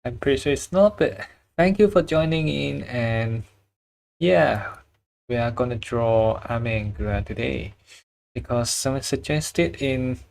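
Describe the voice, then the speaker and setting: Slow, halting man with a Chinese accent. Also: mid-range voice, gravelly, darkish tone. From China. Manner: halting, searches for words, chuckles often. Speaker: drawing livestreamer. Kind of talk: casual livestream chat while drawing.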